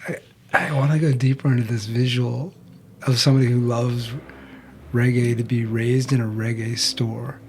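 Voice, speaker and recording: Male podcast host, medium-pitched, eager and probing, slight background hiss. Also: level tone, normal speed. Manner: curious, probing, restarts mid-question. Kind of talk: conversational interview.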